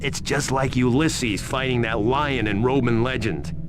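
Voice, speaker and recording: An actor playing a sarcastic, flippant, slightly dim interrogator in a video game cutscene. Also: male voice, medium pitch, measured pace, noisy background, natural voice.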